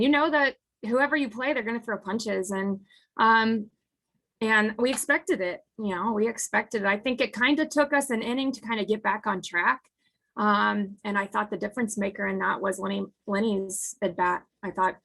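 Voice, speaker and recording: A woman in her thirties, an American softball head coach, answering postgame press questions in an earnest way with a husky voice that is hoarse from screaming. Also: medium pitch, rough recording. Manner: earnest, restarts sentences often.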